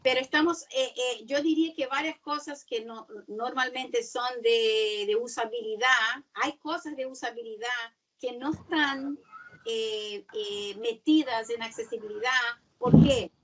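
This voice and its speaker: A woman who is not a native Spanish speaker, giving a seminar talk and often pausing to search for words. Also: on the high side, far from the mic, muffled recording. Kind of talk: webinar talk.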